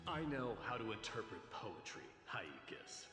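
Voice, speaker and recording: A haughty young warrior prince talks in a sardonic, formal way with wide swings of pitch. The game voice echoes strongly, with loud music underneath. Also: male voice, sing-song, unhurried. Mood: curt. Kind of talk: video game voice acting.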